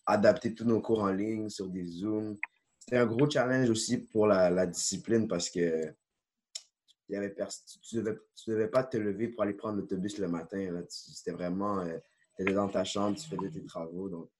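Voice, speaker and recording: A man's voice: deep voice, a little hiss or hum. A young man with a Québécois French accent, a student guest chatting relaxedly on a video call. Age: young adult. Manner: relaxed, chatty, frequent "euh" and "là". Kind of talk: casual interview over video call.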